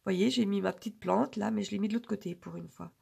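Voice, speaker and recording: A woman talks to her viewers in a loose, rambling way, with lots of pauses and fillers. Her voice is hoarse from a cold and heavily gravelly with vocal fry throughout. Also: medium pitch, dark tone. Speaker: budgeting vlogger. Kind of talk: casual chat to viewers.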